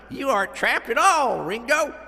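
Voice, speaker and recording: A man's voice: very high voice, very animated, measured pace, creaky, bright, forceful tone, full character voice. An actor playing a hearty, folksy western townsman and cheerful rescuer in a children's audio adventure. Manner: folksy, emphatic, jovial. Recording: cavernous reverb.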